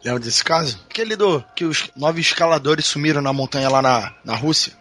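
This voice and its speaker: A man co-hosting a podcast, joking around in a playful, animated way. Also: on the high side, a little fast. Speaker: podcast co-host. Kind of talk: casual chat.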